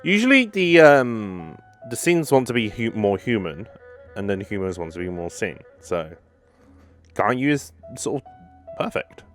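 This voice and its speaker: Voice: medium pitch, big pitch swings, unhurried. A gamer giving casual let's play commentary, musing aloud and reacting in short murmured asides. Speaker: gaming video creator. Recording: game music behind the voice.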